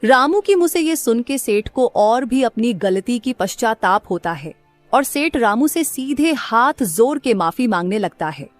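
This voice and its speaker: A woman's voice: on the high side, big pitch swings, a little slow, no room sound, soft background music. A woman reading scripted narration as the narrator of a story.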